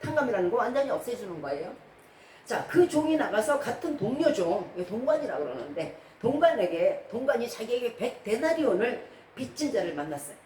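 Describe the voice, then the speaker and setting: A woman's voice: fairly high pitch, big pitch swings, brisk, a little mellow. A woman preacher delivering a didactic sermon, posing rhetorical questions to her congregation. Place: church hall during a service. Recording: echoey room, distant mic, background noise.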